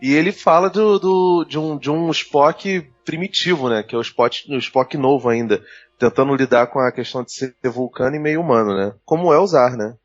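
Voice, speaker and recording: A man with a Brazilian Portuguese accent talking casually as a podcast panelist, halting and repeating words as he goes. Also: medium pitch, no echo. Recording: dull lo-fi sound, music behind.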